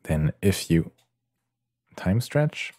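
A man presenting a casual software tutorial in a hesitant, halting way with many pauses. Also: deep voice, sing-song, slight background noise.

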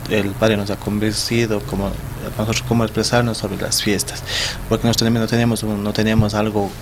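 Hesitant young Ecuadorian man, low voice. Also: flat delivery, quick pace, noisy background. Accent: Ecuadorian Spanish. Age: young adult. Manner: hesitant, many restarts and repeated words. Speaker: festival sponsor guest. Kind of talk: studio interview. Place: small TV studio. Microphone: desk mic on stand.

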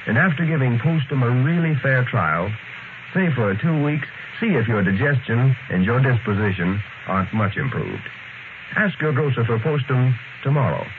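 An American man announcing in polished, formal vintage radio style, reading a scripted script as the programme's presenter. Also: mid-range voice. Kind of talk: 1930s-40s radio announcing, scripted ad and narration. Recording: old radio broadcast, narrow band, noisy.

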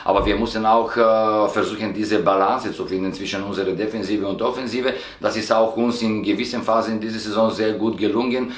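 A man, a football coach giving a halting press interview full of filler sounds. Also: lowish voice. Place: indoor stadium media room, sponsor wall. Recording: echoey room.

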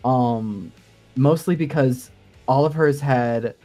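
A woman's voice: very deep voice, slow, dark tone. A woman guest co-hosting a gaming show, explaining things in a casual chat. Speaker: guest co-host, sound design enthusiast. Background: music.

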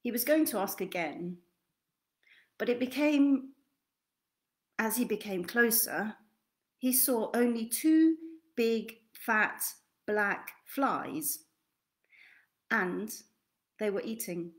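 A woman's voice: fairly high pitch, animated, takes it slowly, pauses often. A young woman narrating a children's picture book expressively, recorded in a small room at home. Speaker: children's storytime reader. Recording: roomy, echoey webcam sound.

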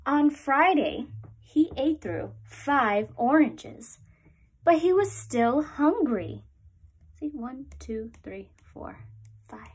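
A young woman, a preschool teacher, reading a picture book aloud to small children at home in a gentle, animated storytime voice. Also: high-pitched, slow. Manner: gentle, animated storytime voice, lifts up on repeated lines. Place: small room at home, indoors.

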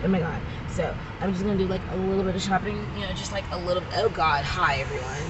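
A woman's voice: on the low side, fast talker, muffled recording. A middle-aged woman chatting casually to a phone camera while walking through a large indoor shopping mall, repeating herself and laughing easily. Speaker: vlogger. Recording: loud busy mall crowd noise.

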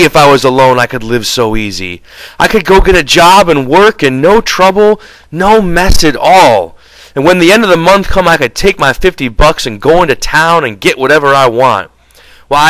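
A man's voice: lowish voice, a bit bright, clear, resonant tone, no room sound, rough recording. A man voicing an angry, exasperated, bullying drifter with folksy, ungrammatical speech in an audiobook. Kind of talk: audiobook character dialogue.

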